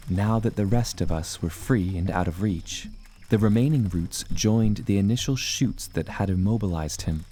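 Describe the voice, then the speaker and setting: A man narrating dramatic scripted audio fiction in the first person, with measured, deliberate storytelling. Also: sits low, mellow. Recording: music behind the voice.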